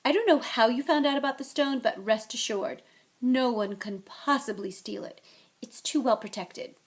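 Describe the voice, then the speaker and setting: A stern older schoolmistress from a storybook, acted out by a woman reader. She is clipped and authoritative, quick to scold, with a fairly high voice that lifts firmly as she lays down the rules. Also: lightly acted. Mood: stern, suspicious. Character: stern, brisk older female professor, quick to anger.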